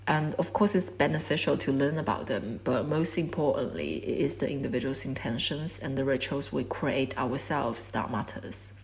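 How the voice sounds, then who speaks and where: A woman in her twenties whose first language is Korean gives a measured, reflective talk about her art. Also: extremely low pitch, lively intonation, vocal fry. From China. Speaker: artist. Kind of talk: prepared artist talk.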